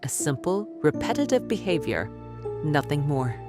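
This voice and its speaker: A woman acting as a self-assured medical expert in a radio drama. Her voice is notably low, with music underneath. She is clinical, condescending and dismissive. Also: sing-song, moderate pace. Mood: lecturing. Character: smug, dismissive female doctor and skeptic author. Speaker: doctor, expert guest.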